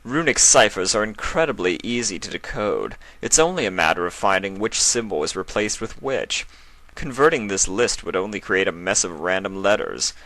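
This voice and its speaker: A pedantic stallion scientist from a fantasy story, voiced by a male reader, scoffs and lectures dismissively. His voice is forceful and bright, a little raised in pitch, in a dry recording. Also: expressive, normal speed, no echo, low-quality recording. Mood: scoffing. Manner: dismissive, stammering when flustered.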